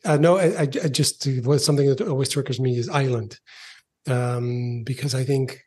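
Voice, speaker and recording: A man's voice: mid-range voice, fairly even intonation, normal speed, darkish tone, husky. A man from the Netherlands, co-hosting a podcast and speaking hesitantly with many ums. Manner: hesitant, lots of ums and uhs. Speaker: podcast co-host. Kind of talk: casual chat.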